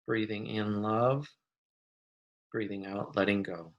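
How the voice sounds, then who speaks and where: Gentle, unhurried meditation guide. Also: sits low, fairly even intonation, lo-fi sound. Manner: gentle, soothing, unhurried instruction with long pauses.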